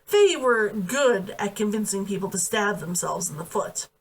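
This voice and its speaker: A woman's voice: mid-range voice, expressive, normal speed, slightly rough. A woman reading fiction aloud in a dramatic, emphatic storytelling style. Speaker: author reading own book aloud. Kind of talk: expressive storybook narration. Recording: voice distant from the mic.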